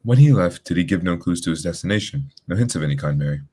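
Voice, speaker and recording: Radio mystery lead: composed, matter-of-fact detective, played by a man, deep voice, fast clipped delivery. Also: animated, warm tone, slightly rough. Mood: shrewd, probing. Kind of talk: radio drama acting.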